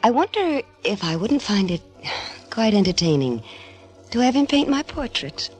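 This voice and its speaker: A woman's voice: mid-range voice, big pitch swings, moderate pace, close to an everyday voice. An actress playing a flirtatious, scheming married woman in a musing, affected 1950s radio drama style. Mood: intrigued, coy. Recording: old radio recording, music behind.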